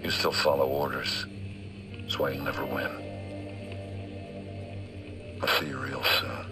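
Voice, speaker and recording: Smug, very deep-voiced villain. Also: male voice, flat delivery, music in the background. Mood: smug, menacing. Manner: taunting, smug, deliberate. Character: smug, calculating male rogue-agent villain. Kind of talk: dramatic video game villain acting.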